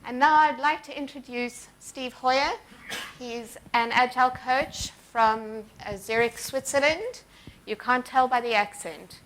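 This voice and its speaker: A woman organiser speaking on stage at a conference, thanking sponsors and introducing the keynote with frequent ums and pauses. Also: sits high, fairly bright tone, a little hiss or hum, rough recording. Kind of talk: conference welcome address. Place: conference hall.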